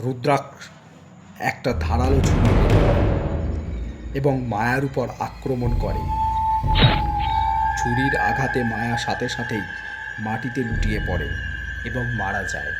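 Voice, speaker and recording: Guy narrating a scary story, dramatic scripted read, music really loud behind him. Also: medium pitch, a little slow, long pauses.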